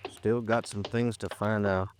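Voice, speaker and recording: An actor playing a skeptical, plain-spoken police lieutenant in an old-time radio noir drama, with an American accent and a folksy, probing way of questioning. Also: male voice, fairly low pitch, little pitch movement, unhurried, darkish tone, a little hiss or hum, lightly acted. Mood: weary, businesslike. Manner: folksy, probing, drops words and endings. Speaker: police detective questioning a witness.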